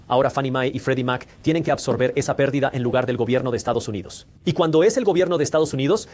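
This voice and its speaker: A man hosting a finance channel, explaining things directly to the viewer in a rapid, no-nonsense delivery. His voice sits somewhat high for a man and moves along quickly from point to point. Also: a little mellow. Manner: brisk, explanatory, speaks straight to viewers.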